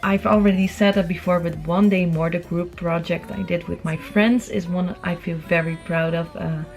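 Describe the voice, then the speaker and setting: A woman's voice: lowish voice, steady tone, normal speed, a little mellow, faint music behind. A young adult woman, chatting informally as she answers viewer questions in a casual vlog. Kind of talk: casual Q&A chat to camera. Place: room at home.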